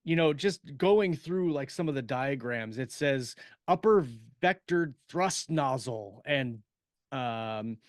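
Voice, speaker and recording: A man's voice: medium pitch, animated, takes it slowly, no room sound. Man chatting casually, close dry sound. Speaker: podcast co-host and science fiction writer. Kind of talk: casual shop-talk chat.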